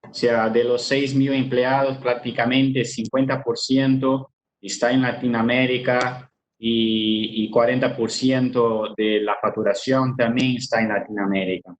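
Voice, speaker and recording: A man's voice: mid-range voice, flat delivery, a little slow, a little hiss or hum, rough recording. A middle-aged man from Brazil with a Brazilian Portuguese accent, giving an online business presentation with many "ah" fillers and repeated words. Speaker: webinar speaker.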